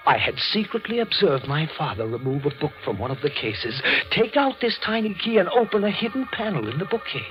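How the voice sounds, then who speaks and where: An actor playing an intense, morbid, grieving young son in 1940s radio horror, with a theatrical, fervent delivery. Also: male voice, fairly high pitch. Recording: muffled 1940s radio recording with music.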